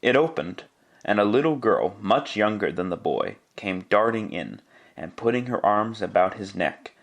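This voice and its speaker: A man narrating a scripted story in classic radio style, theatrical and measured. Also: on the low side, expressive, gravelly.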